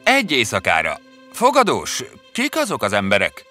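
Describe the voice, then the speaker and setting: A man voicing several male characters in an animated fairy tale dub, with a lively, theatrical delivery. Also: medium pitch, music in the background.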